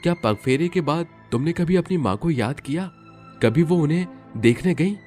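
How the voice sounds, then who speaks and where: A man's voice: on the high side, animated, normal speed, a little mellow, pitched above the performer's own voice, lightly acted. A man voicing a concerned young husband with an earnest, questioning manner in a storybook drama. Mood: reproachful. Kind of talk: audio storybook drama acting. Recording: music under the voice.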